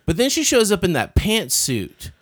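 A man discussing a film casually on a podcast panel, halting and argumentative, repeating words for emphasis. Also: high voice, wildly swooping pitch, fairly bright tone.

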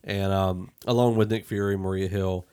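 A man's voice: sits low, steady tone, moderate pace, a little mellow, clean tone. Man chatting casually as a podcast host. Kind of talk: casual movie review discussion.

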